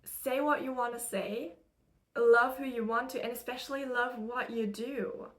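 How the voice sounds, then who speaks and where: A chatty young woman from Germany with an American-sounding English accent talks into the camera in her room. Her voice sits a little high, and she rambles casually with plenty of fillers and bursts of laughter. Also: steady tone, unhurried. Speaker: spiritual channeler and content creator. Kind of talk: casual get-ready-with-me chat. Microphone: camera used as a mirror, close-up.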